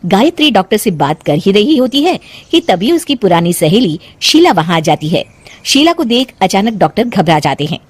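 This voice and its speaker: A woman's voice: mid-range voice, big pitch swings, normal speed, husky, no echo. A woman narrating a scripted moral story as the storyteller between scenes.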